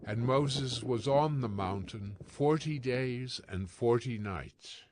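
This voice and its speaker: A man narrating scripture from a script in a solemn, measured manner. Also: medium pitch, a little mellow.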